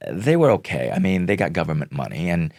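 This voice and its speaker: A middle-aged man from Vietnam, Vietnamese his first language, speaks in a very low, gravelly voice. He talks slowly and earnestly as an interview guest, with many pauses and fillers. The sound is dry and close. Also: big pitch swings, darkish tone, no echo. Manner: slow, earnest, pausing often with fillers. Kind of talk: reflective interview talk.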